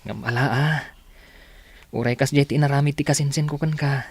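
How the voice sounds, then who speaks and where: A man's voice: mid-range voice, fairly even intonation, a little fast, slightly rough. A man playing a decent, earnest young villager in a radio drama, speaking with an Ilocano accent. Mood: sympathetic, earnest. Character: decent, sympathetic young man, disapproving of his womanizing cousin.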